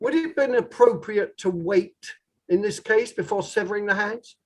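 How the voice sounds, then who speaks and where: A man playing a formal, probing barrister who questions in a measured way, in a table read of a courtroom play. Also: sits high. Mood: pressing, formal. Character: probing male inquiry barrister, formal and methodical.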